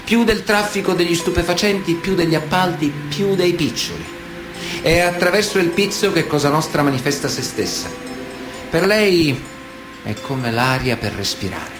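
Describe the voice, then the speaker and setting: A Sicilian man of honour , played by a male actor, tells his world in a radio-play monologue with a Sicilian accent. His voice sits a little high for a man and moves in big, animated swings. He is deliberate and chillingly matter-of-fact, with faint music behind him. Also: lightly acted. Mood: matter-of-fact, explanatory. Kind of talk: dramatic radio monologue, conversational storytelling.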